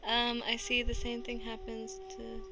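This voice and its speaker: High-voiced, irreverent woman game streamer. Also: completely flat delivery, unhurried, gravelly, music in the background. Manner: irreverent, jokey, sweary. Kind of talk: casual live-stream chat and reactions. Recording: live stream with busy game audio behind.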